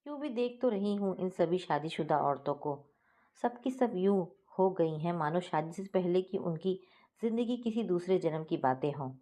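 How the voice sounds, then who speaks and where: A woman's voice: lowish voice, expressive, normal speed, dark tone. A woman reading a scripted first-person family story as its narrator.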